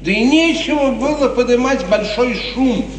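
An actor playing a sly, sneering, obsequious court chamberlain with insinuating theatrical delivery in a 1950s Soviet radio play. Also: male voice, extremely high pitch, animated, normal speed. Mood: sly, mocking. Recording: distant, reverberant 1950s radio recording.

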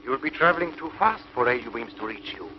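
An actor playing a precise scientist giving measured technical instructions in a 1950s TV sci-fi serial. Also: male voice, mid-range voice, lively intonation, lightly acted. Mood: instructive. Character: precise, explaining male scientist. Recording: dull, noisy 1950s radio-like TV soundtrack.

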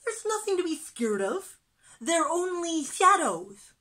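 A woman voicing a cheerful, adventurous little boy fish in a children's storybook, excited and reassuring. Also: very high voice, takes it slowly, voice pushed up, heavily acted.

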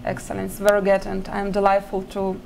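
A woman in her thirties whose first language is Russian answers an interviewer's questions in careful, halting English. She pauses often and breaks into short laughs between phrases. A faint hiss sits under her voice, recorded on a desk mic in an indoor press area. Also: mid-range voice, monotone, creaky, a little mellow, poor audio. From Ukraine. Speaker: chess player guest. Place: indoor press area before a sponsor backdrop. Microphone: desk microphone.

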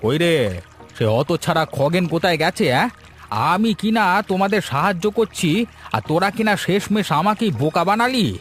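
A man's voice: sits high, lively intonation, a little fast, soft background music. A man voicing an angry, proud village headman in a cartoon who scolds and complains. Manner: scolding, indignant. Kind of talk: Bengali cartoon voice acting.

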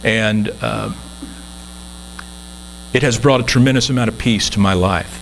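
A man's voice: lowish voice, a little slow, gravelly, a little hiss or hum, rough recording. A man in his sixties, a guest minister, preaching an earnest, conversational sermon from the pulpit of a church.

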